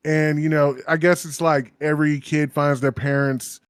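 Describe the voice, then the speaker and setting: A man's voice: fairly high pitch, level tone, normal speed, smooth, dry recording, studio quality. A man co-hosting a podcast, rambling through stories with lots of fillers and swearing. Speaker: podcast co-host. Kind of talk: casual chat.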